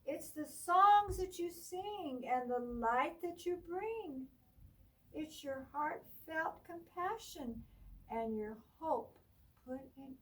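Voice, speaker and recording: A woman librarian reading a picture book aloud to children at storytime in a gentle sing-song voice, sometimes dropping to a whisper. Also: high-pitched, far from the mic, low-quality recording.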